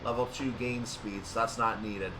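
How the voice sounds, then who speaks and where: A man's voice: normal speed, recorded from a distance. Roomy, off-mic man doing gameplay commentary. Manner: explanatory, thinking aloud. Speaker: gaming video host. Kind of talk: unscripted gameplay commentary on a train simulator. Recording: roomy, off-mic, steady hum and rumble.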